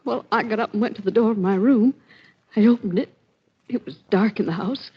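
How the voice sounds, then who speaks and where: An actress playing a distraught, frightened wife in a 1940s radio mystery, with halting, melodramatic delivery. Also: female voice, medium pitch, husky. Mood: horrified. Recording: dull, lo-fi 1940s radio recording.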